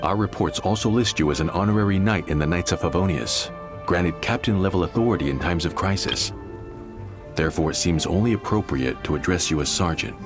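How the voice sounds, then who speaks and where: A man's voice: low-pitched, brisk, music in the background, natural voice. A man playing a dutiful soldier in a video game, a platoon leader who speaks with formal military courtesy. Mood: formal, respectful.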